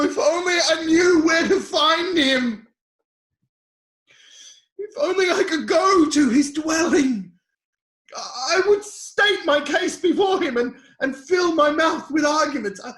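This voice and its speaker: A man playing an anguished, devout sufferer, bitter yet defiant, in an impassioned, halting dramatic scripture reading. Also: very high-pitched, heavily acted. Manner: impassioned, halting delivery swinging from cries to hushed lines. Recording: echoey room.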